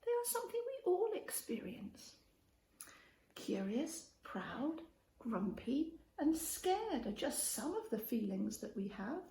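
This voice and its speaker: Woman, high voice, expressive children's read-aloud, echoey room, faint background noise. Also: takes it slowly. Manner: expressive, addresses child listeners with questions and prompts. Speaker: storyteller reading a children's book aloud.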